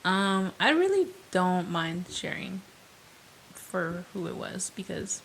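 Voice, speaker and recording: A woman's voice: lowish voice, very animated, slow, vocal fry, a little hiss or hum, rough recording. A young adult woman co-hosting a podcast in casual chat, talking with plenty of fillers and easy laughs. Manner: chatty, laughs easily, fillers like "like" and "um". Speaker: podcast co-host.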